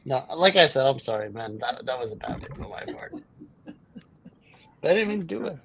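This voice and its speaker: A husky, gravelly man in his thirties talking in a relaxed, halting way. He speaks quietly and often restarts his sentences. His voice comes through a cheap microphone. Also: mid-range voice, rough recording. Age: 30s. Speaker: guest. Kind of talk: casual chat. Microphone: poor mic.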